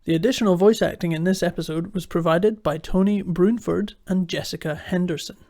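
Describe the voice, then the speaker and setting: High-pitched, creaky male podcast producer. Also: fairly quick, dark tone. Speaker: producer reading the credits. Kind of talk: scripted podcast outro.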